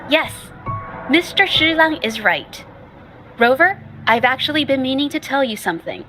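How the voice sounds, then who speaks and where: A woman voicing a shy young female painter in a game, who talks softly and hesitantly and stammers. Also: high-pitched, big pitch swings, moderate pace, soft background music, heavily acted. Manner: hesitant, stammering, soft-spoken. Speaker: game character.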